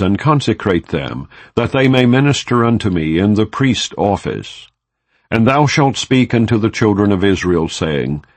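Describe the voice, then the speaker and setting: A man narrating an audiobook of scripture in a solemn, measured, formal manner. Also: fairly low pitch, dry recording. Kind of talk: scripture reading, non-dramatized audiobook narration.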